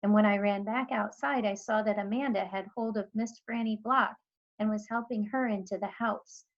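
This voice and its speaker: A woman teacher reading a children's storybook aloud in expressive narration. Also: medium pitch, moderate pace, dull audio.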